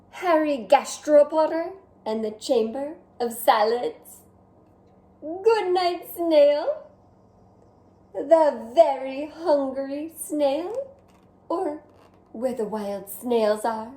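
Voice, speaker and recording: A vain, dramatic male French snail from a storybook, as a woman reads him aloud, full of himself and talking straight to the listener. The voice sits high but mellow and unhurried. The sound carries a roomy echo. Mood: grandly chatty. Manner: theatrical, self-admiring, addresses listener directly.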